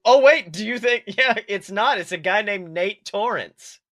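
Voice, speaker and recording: Bright, excitable man in casual chat. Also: sits high, a little slow, no echo, clean studio sound. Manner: excitable, exclamatory, swearing freely. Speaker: podcast co-host. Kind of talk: casual chat about an animated film.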